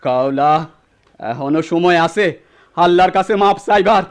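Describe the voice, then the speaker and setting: A man's voice: high voice, moderate pace, clear, resonant tone. An actor playing a righteous, anguished young man with a rural Bangladeshi accent, declaiming accusingly in a radio drama. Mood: anguished, resolute. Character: righteous, anguished grown son defying his wicked father.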